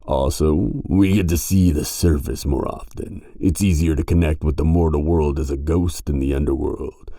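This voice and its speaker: A sardonic grim reaper, acted by a man for an audio drama, with a very low, dark and mellow voice. He talks in casual slang, brash and teasing, as if always ready to argue. The sound is close and dry. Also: steady tone, normal speed, vocal fry, hardly any pauses, no room sound, heavily acted. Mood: brash, insistent. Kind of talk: audio roleplay acting.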